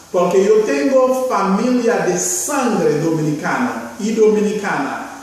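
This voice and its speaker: A man's voice: high voice, very echoey, in a large hall, recorded from a distance, poor audio. A middle-aged Haitian man, a pastor, making an earnest recorded appeal in Spanish with a Haitian accent. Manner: earnest, pleading, slow and deliberate. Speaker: pastor and missionary.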